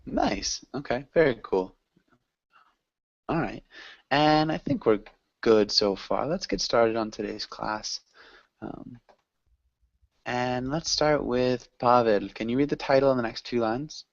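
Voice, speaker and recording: A man teaching an online English class, patient and encouraging, correcting grammar gently. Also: mid-range voice, slow pace, vocal fry, long pauses, muffled recording.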